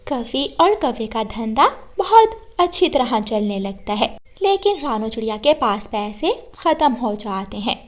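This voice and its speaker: High-pitched woman narrating children's story. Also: sing-song, unhurried, hardly any pauses.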